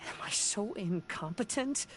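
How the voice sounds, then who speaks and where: An actor playing an earnest, dutiful young heir of a swordsmith clan in a game, speaking in measured, formal phrases. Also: male voice, sits high, lively intonation, fairly bright tone, slight background noise. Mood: pleading, upset. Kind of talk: English game voice acting. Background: game music.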